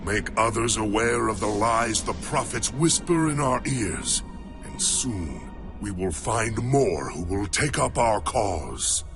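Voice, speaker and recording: An actor playing a resolute alien warrior turned rebel in a game cutscene, speaking in an earnest, formal way. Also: male voice, fairly low pitch, expressive, slow pace. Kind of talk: video game cutscene acting. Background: music score.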